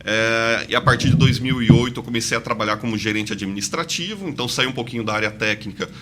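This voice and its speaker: A man's voice: medium pitch, fast talker, fairly bright tone, a little hiss or hum. A man of 46 from inland São Paulo with a mixed São Paulo and Santa Catarina accent, telling his story as a podcast guest. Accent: mixed São Paulo and Santa Catarina Brazilian. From interior of São Paulo state, Brazil. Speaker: podcast guest, investment advisor. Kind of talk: conversational interview, personal storytelling.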